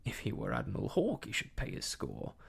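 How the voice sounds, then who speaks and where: A man performing a crafty one-legged sea cook turned tavern keeper in a classic adventure tale. He blusters in salty sailor talk with a husky, breathy edge, his pitch leaping and plunging dramatically between heartiness and menace. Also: huge pitch swings, brisk, exaggerated voice. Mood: indignant. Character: cunning one-legged seafaring tavern landlord, hearty then stern.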